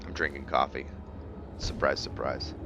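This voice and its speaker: A man giving casual let's-play commentary on a video game, thinking aloud in a halting way and trailing off mid-phrase. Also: a bass voice, little pitch movement, background noise. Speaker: gaming host narrating a playthrough. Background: game music behind the voice.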